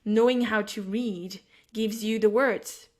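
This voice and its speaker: A woman's voice: mid-range voice, steady tone, takes it slowly, a little mellow. A woman from France speaking English with a French accent, hosting a casual podcast chat from home in the evening, often restarting as she searches for words. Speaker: podcast host. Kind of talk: casual conversation. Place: home, at night.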